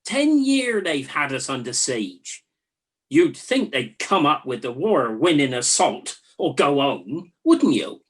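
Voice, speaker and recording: Bored sentry in a spoof radio play acted: husky, very sing-song delivery, blunt matey mockery. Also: mid-range voice, big pitch swings, takes it slowly, heavily acted. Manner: dry, mocking, matey banter. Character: bored, teasing, blunt Trojan soldier on night watch. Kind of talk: comic radio play dialogue.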